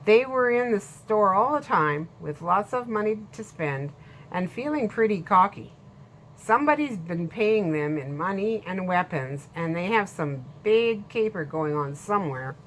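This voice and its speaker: A woman's voice: medium pitch, animated, measured pace, slight background noise, close to an everyday voice. A woman voicing a plain-spoken island storekeeper. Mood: matter-of-fact. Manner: plain-spoken, matter-of-fact. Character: blunt, friendly middle-aged male island storekeeper.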